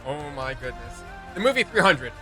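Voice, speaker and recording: A man's voice: mid-range voice, very animated, normal speed, over music. A man chatting casually as co-host of a reaction video, rambling and often searching for words.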